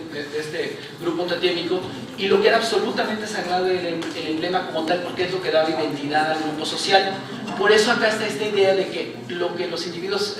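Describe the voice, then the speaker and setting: A man with a Mexican accent, a book-presentation panelist giving an academic answer in a university lecture hall, explaining step by step and often closing phrases with a questioning tag. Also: a little high. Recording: echoey hall, picked up from far off, noisy.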